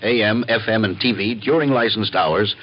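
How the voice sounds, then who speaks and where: An American man playing a measured federal communications official, explaining things seriously in scripted 1950s radio dialogue. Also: on the low side, close to an everyday voice. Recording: dull vintage radio, faint noise.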